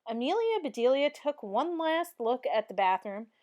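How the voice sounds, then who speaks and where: A woman reading a children's storybook aloud in an expressive, clearly phrased narration. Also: high voice, normal speed. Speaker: storybook reader.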